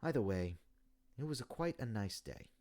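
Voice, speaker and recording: A man voicing an elderly, melancholy hippopotamus from a video game, stammering and rambling on slowly and wistfully. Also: mid-range voice, sing-song, a little mellow, lightly acted. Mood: musing, rambling. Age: older. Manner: hesitant, stammering, long-winded rambling. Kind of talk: video game character monologue.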